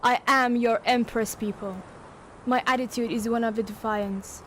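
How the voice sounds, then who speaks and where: A woman playing a dreamy, fragile schoolgirl given to grand fantasies, speaking in a measured, intense way in a poetic audio drama. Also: a little high, steady tone, a bit bright, a little hiss or hum, natural voice. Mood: defiant.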